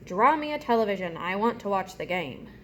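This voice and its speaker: A woman's voice: mid-range voice, big pitch swings, brisk, lightly acted. Lady doing a grumpy growly monster from a kids book, barking demands, bit of hiss behind. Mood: grumpy, demanding. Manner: blunt, barking orders.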